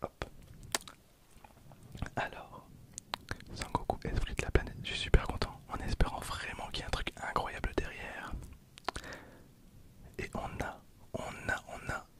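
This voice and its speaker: A man speaks in a breathy, unvoiced whisper, giving informal ASMR commentary. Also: unhurried, long pauses. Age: 20s. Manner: informal, murmured running commentary. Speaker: ASMR video creator. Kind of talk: whispered ASMR with casual commentary on trading cards.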